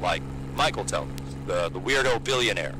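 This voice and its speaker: A man's voice: deep voice, lively intonation, moderate pace. A man playing a chatty, blunt helicopter pilot in a naturalistic audio drama. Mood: curious, wry. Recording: loud engine hum throughout. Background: helicopter engine.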